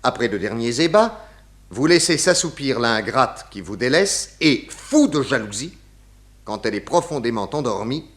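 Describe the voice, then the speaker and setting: Casting: an assured male sleuth for vintage radio mystery, medium pitch, very lively intonation, formal and theatrical. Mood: accusatory, triumphant. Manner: theatrical, formal, deliberate enunciation. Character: shrewd, assured male detective laying out his case. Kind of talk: 1960s French radio play acting.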